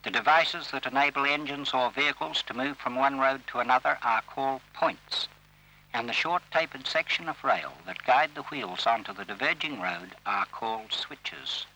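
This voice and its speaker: A man narrates an old instructional film in a very bright, crisp-edged and forceful voice. His delivery is formal and measured, setting out each instruction as scripted. It has the sound of a vintage training film soundtrack. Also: medium pitch, a little hiss or hum, low-quality recording. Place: voice-over recorded for film.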